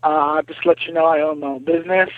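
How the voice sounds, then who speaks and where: A man in his late thirties from the Pittsburgh area, chatting on the phone in a halting, filler-heavy, boastful way. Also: a little high, fairly even intonation. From Pittsburgh area, USA. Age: 30s. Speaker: caller. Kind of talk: casual phone chat. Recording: muffled dry phone line.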